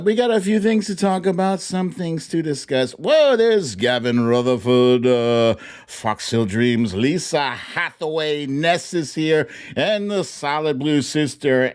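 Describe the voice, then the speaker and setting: Playful, theatrical man hosting, swooping pitch. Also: clear, resonant tone. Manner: playful, theatrical, drawn-out exclamations. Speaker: host of a live streamed show. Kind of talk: live chat-show talk, greeting viewers by name.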